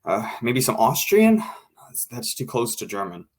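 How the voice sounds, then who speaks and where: An actor playing a tactful but fussy radio director, hesitant and repeating himself, in an old-time radio drama. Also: male voice, mid-range voice, wildly swooping pitch, moderate pace. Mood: searching, fussy.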